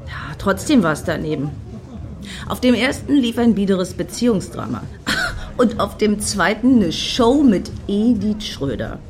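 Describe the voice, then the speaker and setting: A woman's voice: mid-range voice, sing-song, a little slow, a little mellow, soft background music. A woman acting in a satirical radio play as a tart, ironic friend on a night out, mocking with dry irony. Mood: scornful.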